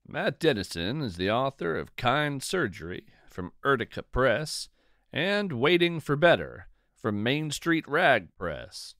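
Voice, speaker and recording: Slow, expressive male storyteller. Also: medium pitch, no echo, clean studio sound. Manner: deliberate, measured, literary delivery. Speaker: podcast host and narrator. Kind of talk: scripted narration, poetry reading and storytelling.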